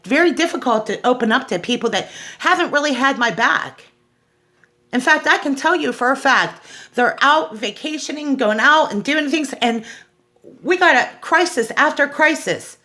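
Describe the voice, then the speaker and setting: A woman's voice: high-pitched, expressive, normal speed, pushed and bright. A middle-aged American woman giving an unscripted personal monologue, speaking in an aggrieved, emphatic way and repeating phrases for stress. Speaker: host of a personal awareness show.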